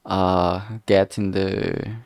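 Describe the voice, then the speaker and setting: A man talking casually while working on a solo stream, halting and rambling, often trailing off mid-sentence and swearing freely. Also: low voice, monotone, vocal fry, a little mellow, no echo, clean studio sound. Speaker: solo streamer developing a visual novel. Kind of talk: casual work-along stream monologue.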